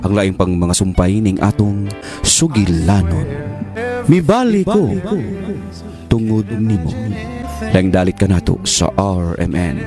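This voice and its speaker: A man's voice: low voice, sing-song, takes it slowly, pauses often. A man with a Cebuano Filipino accent delivering dramatic scripted narration as a radio drama announcer. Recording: music under radio announcer.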